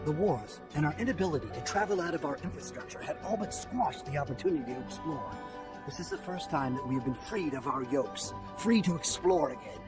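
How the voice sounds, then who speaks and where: An actor playing an earnest, measured scholarly mentor in a sci-fi video game. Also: male voice, a little high, natural voice. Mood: solemn, hopeful. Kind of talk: dramatic video game acting.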